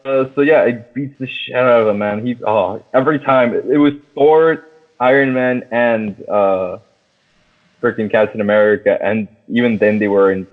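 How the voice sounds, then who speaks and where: Phone-thin, excitable young man chatting. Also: mid-range voice, normal speed. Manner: excitable, slangy, heavy swearing. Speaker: podcast co-host. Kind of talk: casual chat about a film. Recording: thin, phone-like band-limited audio.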